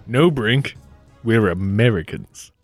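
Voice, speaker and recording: A man's voice: on the low side, animated, slow, music in the background, voice pushed down. A man playing an earnest, cautious time-travelling investigator with folksy politeness in a 1940s-style radio play. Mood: dry, cautious.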